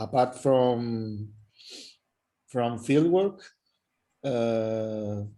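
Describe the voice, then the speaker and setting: Male session chair, very slow and hesitant, with a mellow, dark tone. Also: mid-range voice. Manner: hesitant, searching for words. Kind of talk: moderating a Q&A.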